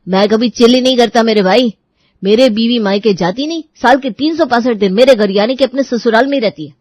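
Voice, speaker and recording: A woman's voice: on the high side, brisk, darkish tone, dry recording, natural voice. A woman voicing a henpecked, evasive husband who complains and makes excuses in a comic audio story. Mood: weary complaining.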